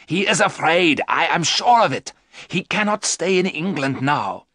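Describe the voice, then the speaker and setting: A man's voice: fairly high pitch, very animated, measured pace, pushed and bright, dry recording, studio quality, voice pushed up, exaggerated voice. A man voicing an old male doctor, firm and commanding, urgent and steadfast.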